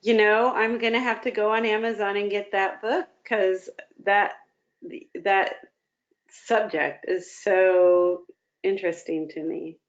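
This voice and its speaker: A woman's voice: mid-range voice, steady tone, slow pace. A woman talking casually and reflectively as an interview guest, with an encouraging manner. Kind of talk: casual, reflective personal talk. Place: remote video call. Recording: dull lo-fi video-call audio.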